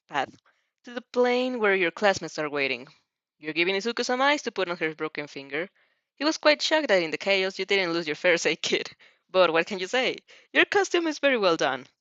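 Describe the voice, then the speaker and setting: A slightly low-voiced woman reads a fan story aloud in an expressive amateur style. She stumbles and repeats phrases as she goes. The sound is dry and a little dull. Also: no echo. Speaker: fanfiction audiobook reader.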